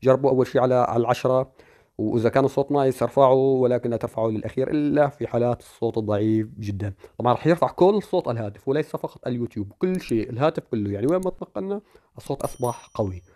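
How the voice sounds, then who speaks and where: A man's voice: medium pitch, very fast, mellow. A man giving a chatty, step-by-step phone tutorial. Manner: chatty, explaining step by step with fillers. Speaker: tech tutorial host. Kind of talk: casual screen-recorded phone tutorial.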